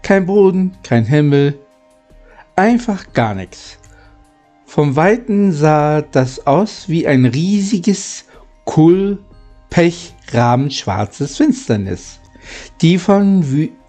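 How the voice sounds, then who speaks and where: A man hosts and reads a children's story aloud in a slightly halting way. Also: a little high, lively intonation, warm tone, soft background music. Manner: halting reading with small stumbles. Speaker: host reading a story aloud. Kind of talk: greeting listeners, then audiobook-style reading of a children's novel.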